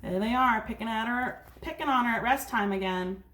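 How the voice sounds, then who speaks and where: A woman's voice: a little high, a little fast, fairly bright tone, low-quality recording. A woman teacher reading a story aloud to young children, with simple, instructive asides.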